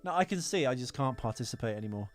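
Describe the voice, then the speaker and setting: A man's voice: medium pitch, lively intonation, quick pace, soft background music. A man co-hosting a casual film podcast, talking in an opinionated, rambling way with plenty of swearing. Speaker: podcast co-host.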